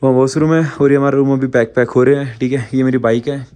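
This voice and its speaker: A young man vlogging on a trip, his throat a little hoarse, talking bluntly and chattily straight to his viewers. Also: mid-range voice, level tone, fast, dark tone, no room sound. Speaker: travel vlogger. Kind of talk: casual travel vlog talk.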